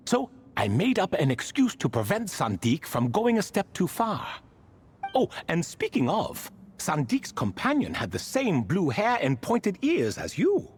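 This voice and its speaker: A man's voice: on the high side, a little hiss or hum. An actor playing an ancient ibis king spirit in a video game, courteous and wistful, with a theatrical, leisurely storytelling manner.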